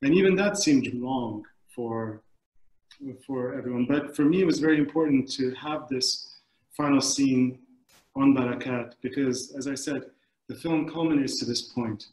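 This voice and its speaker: A man in his forties from the Middle East, a film director giving thoughtful, halting answers on a video call panel. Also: medium pitch, a little mellow. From Middle East, born in Kuwait. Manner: halting, searching for words. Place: indoors, book-lined room at home. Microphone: computer mic on a video call. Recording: echoey room over video call.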